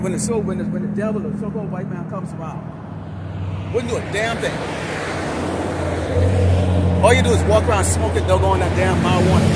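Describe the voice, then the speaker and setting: A man with an American accent preaching on the street, berating listeners in blunt, profane language between scripture readings. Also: sits high. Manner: berating, profane, repeats "okay?" as a tag. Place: outdoors by a city roadside. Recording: very noisy outdoor street with traffic.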